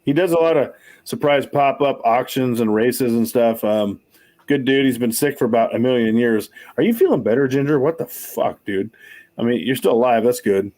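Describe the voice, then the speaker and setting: A man's voice: mid-range voice, normal speed, darkish tone. Man in his 40s, livestream host, casual chat, swears a lot, self-mocking, rambling.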